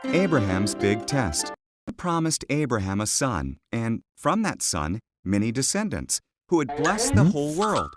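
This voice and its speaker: Slow, highly expressive storybook narrator. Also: music in the background. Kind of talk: scripted children's Bible story narration.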